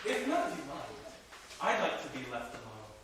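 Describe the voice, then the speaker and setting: A man's voice: medium pitch, wildly swooping pitch, a little fast, heavy background noise. A man playing a bitter, despairing resident of a children's show street in a theatrical staged musical. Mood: irritated. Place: staged reading in an echoey room. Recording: echoey stage reading, distant mic, loud music.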